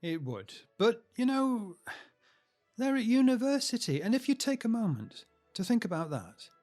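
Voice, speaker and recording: A man's voice: very high-pitched, very animated, moderate pace, a little mellow, faint music behind. An actor playing a cheerful, talkative middle-aged British husband and proud grandfather in a naturalistic audio drama, reflective and sentimental as he chats. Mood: warm, reflective. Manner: chatty, reflective speech-making.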